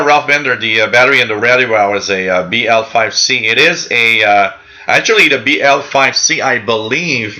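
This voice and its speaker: A man's voice: medium pitch, bright, forceful tone. A man whose first language is French hosts a live radio hobby show, chatting casually with viewers in a rambling, friendly way full of fillers and restarts. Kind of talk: casual live-stream chat reading out viewer comments.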